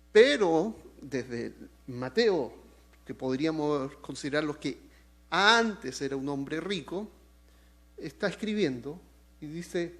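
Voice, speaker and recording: Slow, emphatic Chilean preacher, man. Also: a little high. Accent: Chilean Spanish. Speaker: pastor. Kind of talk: sermon.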